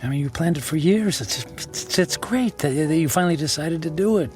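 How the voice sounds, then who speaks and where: Actor as halting, remorseful ex-husband over music. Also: male voice, on the high side, expressive, a little mellow, lightly acted. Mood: awkwardly warm. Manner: halting, stammering, apologetic. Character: remorseful, hesitant middle-aged ex-husband, humbled and pleading. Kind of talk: radio drama acting. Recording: music bed and rain behind.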